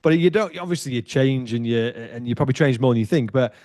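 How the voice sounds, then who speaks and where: A talkative man of forty hosts a podcast, chatting loosely and circling back on his words. His voice sits at an ordinary middle pitch. The sound is dry and close, with no room to it. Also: quick pace, darkish tone, no room sound. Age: 40s. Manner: chatty, loose with many restarts and fillers. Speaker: podcast host. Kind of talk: casual interview chat.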